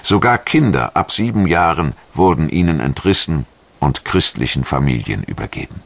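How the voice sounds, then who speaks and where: A man reading scripted historical documentary narration in a measured, solemn and formal manner. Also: very deep voice, animated, vocal fry. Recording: music behind the voice. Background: background music.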